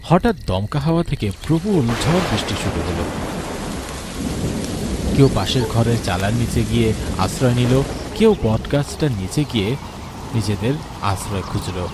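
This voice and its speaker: A man's voice: medium pitch, animated, moderate pace, pauses often, very noisy. A man narrating a scripted, dramatic spooky story, with music underneath.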